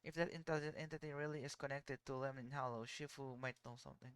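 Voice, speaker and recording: A game streamer reading dialogue aloud haltingly and reacting casually during a live stream. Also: medium pitch, fairly even intonation, clear, resonant tone, dry recording.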